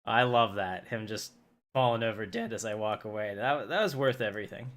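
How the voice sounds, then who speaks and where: A man's voice: on the low side, a little fast, a bit bright. A man hosting a game livestream, chatting casually as he plays. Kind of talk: casual chat over gameplay. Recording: clearly noisy livestream recording.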